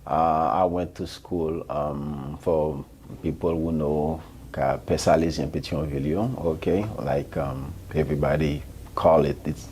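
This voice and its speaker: A man from Haiti with a Haitian accent, a physician guest answering interview questions in a hesitant, halting way full of ums. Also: very deep voice, fairly even intonation, dark tone, slight background noise.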